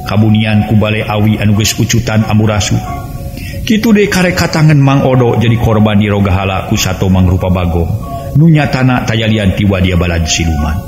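A man's voice: fairly low pitch, expressive, a little fast. A man with a West Javanese accent narrating a serial folk tale in a dramatic storytelling style. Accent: Sundanese-language region of West Java, Indonesia. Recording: roomy echo with music underneath.

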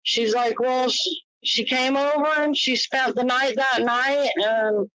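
A woman's voice: high voice, steady tone, takes it slowly, a bit bright, dry recording, low-quality recording. Hesitant woman on a recorded call. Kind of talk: private phone conversation.

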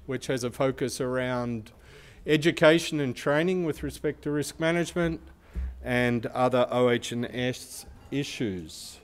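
Man, conference speaker, big loud voice, smooth and resonant, mid-range pitch. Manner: explanatory, asks the audience questions. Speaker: research institute speaker. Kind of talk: conference presentation.

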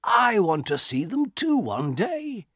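An eager old father from a storybook, as a male narrator plays him. His voice is raised and very animated. He sounds hospitable, curious and insistent. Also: high-pitched, slow pace, no room sound, clean studio sound, pitched above the performer's own voice, full character voice.

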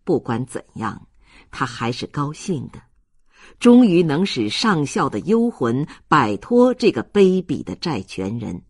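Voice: mid-range voice, very animated, measured pace, a little mellow, husky, dry recording, clean studio sound. An audiobook narrator reading a novel in a scripted storytelling style.

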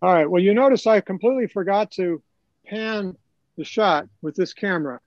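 Older guy doing a casual how-to, kinda high voice, chatty explaining, no room echo. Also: moderate pace, muffled recording. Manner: conversational, explanatory. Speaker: presenter, hobbyist teaching video editing. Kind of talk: informal live tutorial.

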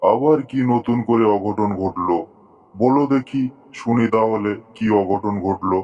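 Man voicing a low, blustering cartoon tiger uncle. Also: measured pace, darkish tone, muffled recording. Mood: curious, flattered. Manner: blustering, impatient. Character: boastful, gullible, marriage-crazy male tiger uncle. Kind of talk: cartoon story dialogue.

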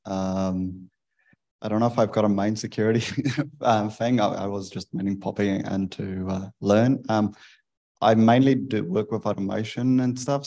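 A man's voice: lowish voice, vocal fry, dark tone. A man talking casually as a participant at a roundtable. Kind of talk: casual self-introduction.

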